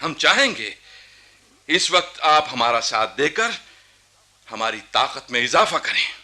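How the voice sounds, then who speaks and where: An actor playing a proud, embittered young Nawab in a historical radio drama, with imperious, rhetorical courtly speech. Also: male voice, medium pitch, sing-song, measured pace, pushed and bright, full character voice. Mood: courtly, commanding. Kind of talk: theatrical Hindi radio historical drama acting.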